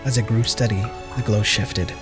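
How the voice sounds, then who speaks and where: A man's voice: on the low side, fairly even intonation, moderate pace. A man reads scripted dramatic narration as the storyteller of an audio drama. Prominent music plays behind his voice.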